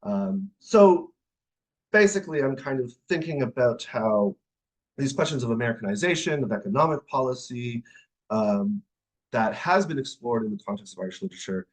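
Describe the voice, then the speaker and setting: A man's voice: medium pitch, expressive, moderate pace, poor audio. A man giving an academic presentation in a discursive, hesitant, thinking-aloud way. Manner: hesitant, discursive, hedging phrasing. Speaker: academic speaker, literary scholar. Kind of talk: conference presentation, semi-improvised talk.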